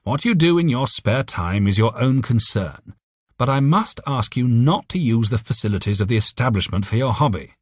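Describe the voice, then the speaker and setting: A man's voice: medium pitch, lively intonation, normal speed, husky, dry recording, studio quality, voice pushed up. A man voicing a stern, pompous army general in an audiobook, speaking officiously with heavy emphasis. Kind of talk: audiobook character reading.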